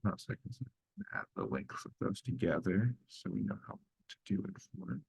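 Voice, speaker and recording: A young man getting over a cold, talking through a coding test in a halting, self-correcting way. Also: low-pitched, big pitch swings, creaky, darkish tone, breathy edge, dry recording, low-quality recording. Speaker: student solving a coding test. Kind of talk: think-aloud coding walkthrough.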